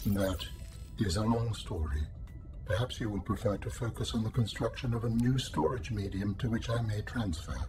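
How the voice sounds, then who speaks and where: A man plays an ancient alien intelligence from a video game. His voice is low, measured and formal, detached and literal to the point of stiffness. Music sits clearly behind him. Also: natural voice. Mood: matter-of-fact. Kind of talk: scripted video game dialogue.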